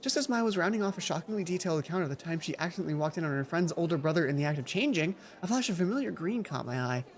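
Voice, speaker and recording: Let's play host, medium pitch, theatrical story reading broken by casual asides, music behind. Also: lively intonation, racing, few pauses. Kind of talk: reading visual novel aloud with casual asides.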